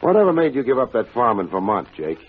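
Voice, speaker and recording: An American actor playing a wry, unflappable private detective in a 1950s radio drama, deadpan and wisecracking. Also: male voice, medium pitch, fast, husky. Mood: dry, teasing. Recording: dull old radio recording, music behind.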